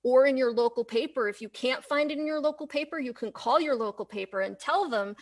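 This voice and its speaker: Woman, high voice, live-stream host, informal, hesitant phrasing, frequent restarts. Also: brisk. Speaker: interview host. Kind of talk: casual live-stream interview.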